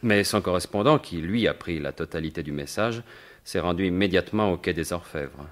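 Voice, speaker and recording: An actor playing a confident, wry gentleman thief turned investigator in a French radio mystery in 1950s style, speaking in assured, formal, articulate phrases. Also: low-pitched, a little fast, lightly acted. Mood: probing, grave.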